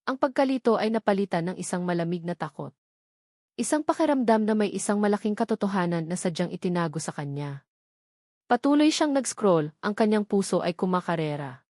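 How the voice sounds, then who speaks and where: A woman's voice: mid-range voice, darkish tone, no echo, clean studio sound. A woman with a Filipino accent reading a scripted, dramatic love story as its narrator. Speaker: narrator of audio love stories.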